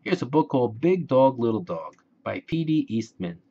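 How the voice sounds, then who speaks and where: A man reading a children's storybook aloud in a deliberate, expressive way for young listeners. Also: mid-range voice, breathy edge, dull audio. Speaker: children's book reader.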